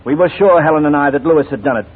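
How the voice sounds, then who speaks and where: An actor playing a clever, intense titled gentleman in a 1940s radio drama, articulate and pointed in his delivery. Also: a little high, rapid-fire, breathy edge. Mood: earnest explaining. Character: clever, intense titled gentleman, wrongly suspected, coolly persuasive. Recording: thin, phone-like 1940s radio recording.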